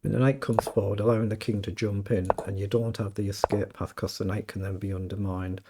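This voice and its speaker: A British man with a slightly croaky voice, casually commentating his own chess game and thinking aloud. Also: fairly low pitch, fairly even intonation, a little fast, noisy background. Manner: thinking aloud, self-questioning. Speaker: chess streamer.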